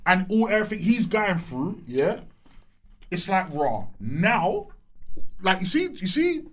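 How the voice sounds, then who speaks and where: A man, chatting casually on a podcast and telling stories in slang-heavy, animated talk. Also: sits high, measured pace. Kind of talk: casual chat.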